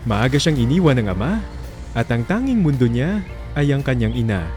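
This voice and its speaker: A man's voice: mid-range voice, sing-song, moderate pace, darkish tone. A man with a Filipino accent delivering dramatic scripted narration as the narrator of a radio drama. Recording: music under the narration.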